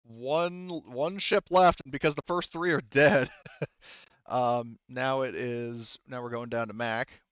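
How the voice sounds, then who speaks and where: Male game master, clean smooth voice, halting think-aloud narration, dry slightly dull audio. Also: mid-range voice, fairly even intonation, a bit bright, no echo. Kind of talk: narrating a tabletop game session.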